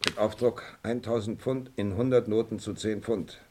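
Crime radio play: male actor as understated detective sidekick, low pitch, matter-of-fact, quietly sharp. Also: steady tone, moderate pace, natural voice. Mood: dry, factual. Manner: dry, factual, understated.

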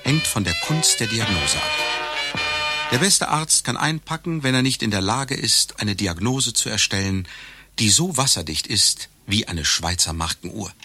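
An actor playing a learned lecturer, delivering an ornate, mock-solemn satirical monologue. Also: male voice, lowish voice, expressive, normal speed, pushed and bright, faint music behind, exaggerated voice. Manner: pompous, ornate, mock-serious.

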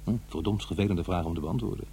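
An actor playing an uneasy, defensive middle-aged divorced father in a radio drama, confiding in a halting, conversational way. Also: deep voice, dark tone, lightly acted. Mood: agitated, rueful. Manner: halting, hesitant, self-interrupting. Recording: faint noise and hum.

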